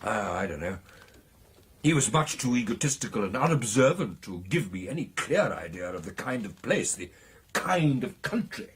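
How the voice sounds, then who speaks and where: An actor playing an excitable British club gentleman, telling his tale in a theatrical, hesitating and emphatic radio drama style with a husky voice. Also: fairly low pitch, moderate pace, full character voice. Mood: earnest, reflective. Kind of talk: dramatic storytelling in a radio play.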